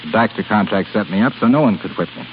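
A man as an earnest, low-voiced younger suitor. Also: animated, fast, close to an everyday voice. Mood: humbled, sincere. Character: earnest, proud, plain-spoken younger man in love. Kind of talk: 1930s radio acting. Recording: dull, hissy 1930s radio recording.

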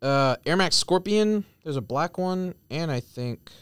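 A man's voice: a little high, expressive, unhurried, fairly bright tone, smooth, no echo. A man co-hosting a casual podcast, speaking tersely as he reads out list items and tosses in short remarks. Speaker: podcast co-host reading out a release list. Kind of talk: casual banter and list reading. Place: podcast studio.

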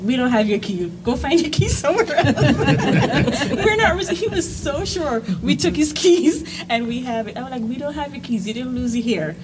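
A woman's voice: high voice, expressive, moderate pace, few pauses, a loud crowd. A woman from Jamaica speaking as a panel guest, telling stories about her work in a casual way. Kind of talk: casual anecdotal storytelling. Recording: noisy live room with clicks.

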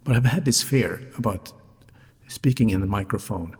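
A man hosting a solo podcast speaks in a low, husky, slightly raspy voice as a non-native English speaker. His delivery is deliberate and carefully articulated. Long reverb surrounds the voice. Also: darkish tone. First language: not English. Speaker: podcast host, hotelier. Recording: reverberant hall-like sound, music behind.

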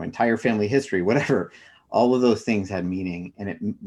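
A middle-aged man with a low-pitched voice answers as an interview guest. He talks conversationally and reflectively, working through his ideas with personal examples. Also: creaky, darkish tone, husky.

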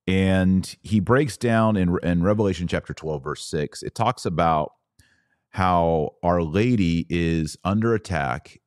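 A man's voice: sits low, slow, clean tone, dry recording, studio quality. A man hosting a religious podcast, explaining scripture in a didactic, emphatic way, drawing out key words and posing rhetorical questions. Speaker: podcast host.

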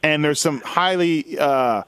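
Male podcast host, higher-pitched, loose joking banter about racing, recorded dry and close. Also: slow pace, a bit bright, clear, resonant tone, no room sound. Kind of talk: casual sports banter.

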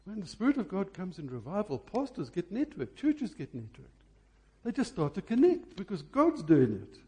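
A man's voice: sits high, wildly swooping pitch, normal speed, a little mellow, slightly rough. A man preaching a sermon, phrasing emphatically and repeating key words for effect.

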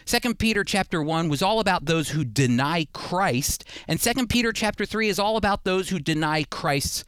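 A man's voice: sits high, animated, moderate pace, a bit bright, clean tone, no echo. A man preaching a sermon, addressing listeners directly and repeating key points for emphasis. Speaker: preacher and Bible teacher.

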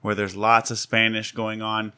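Bright-voiced man asking curious questions. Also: lowish voice, monotone, normal speed, clear, resonant tone. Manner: curious, hesitant phrasing. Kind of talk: casual conversational interviewing.

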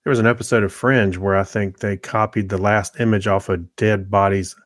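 A man's voice: on the low side, steady tone, a little fast, a bit bright, no echo, studio quality. A middle-aged man chatting casually as a podcast co-host. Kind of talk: casual chat.

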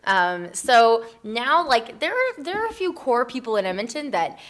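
A woman's voice: on the high side, sing-song, moderate pace, fairly bright tone. A woman chatting casually as an interview guest, informal and self-deprecating, often saying like. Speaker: interview guest, community project founder. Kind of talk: casual chat.

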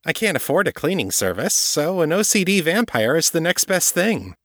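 A man's voice: a little high, big pitch swings, normal speed, a bit bright, no room sound, clean studio sound, voice pushed up. A man voicing a blunt, frustrated young woman police detective who speaks glumly. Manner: blunt and glum.

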